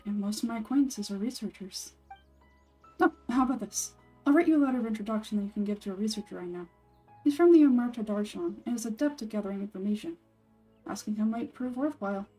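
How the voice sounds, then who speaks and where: A woman's voice: a little high, warm tone, distant mic, close to an everyday voice, rough recording. Woman as a composed, dry-toned young male ranger. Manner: measured, dry, matter-of-fact.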